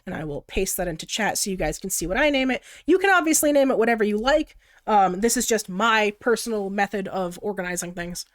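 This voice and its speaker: A woman's voice: medium pitch, lively intonation, a little fast. A young woman with a stuffed-up nose from the flu, casually explaining her digital art process on a live stream in a chatty, giggly way. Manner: chatty and giggly, often reading chat aloud.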